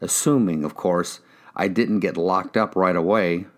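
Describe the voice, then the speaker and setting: A man with a low voice narrates a crime novel in the first person. It is a scripted audiobook reading by an actor. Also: measured pace.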